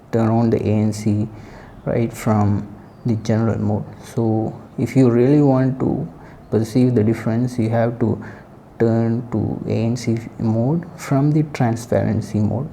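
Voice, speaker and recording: A man giving a casual spoken product review in halting short phrases with pauses. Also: medium pitch, gravelly, warm tone, slight background noise. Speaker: tech reviewer.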